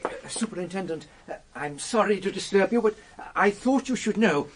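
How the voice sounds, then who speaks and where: A man's voice: high-pitched, animated, normal speed, slightly rough. An actor playing a flustered, apologetic male official in a radio mystery, reporting politely and hesitantly. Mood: apologetic, earnest. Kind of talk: British radio mystery drama.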